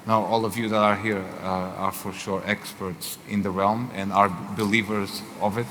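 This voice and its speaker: Portuguese accented guy moderating a fireside chat, lowish voice, lots of uhs, hall echo w/ faint hiss. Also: little pitch movement, a bit bright. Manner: halting, many fillers. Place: event hall. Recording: reverberant hall with faint noise.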